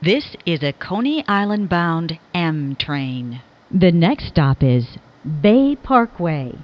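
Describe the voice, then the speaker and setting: A woman reads scripted subway announcements as a recorded train announcer, in a measured, formal, clearly enunciated manner. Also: on the low side, big pitch swings, lo-fi sound.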